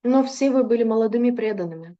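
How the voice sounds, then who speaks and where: Mellow-voiced woman interpreting a lecture. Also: on the high side, quick pace, dull audio. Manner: fluent with frequent filler hesitations.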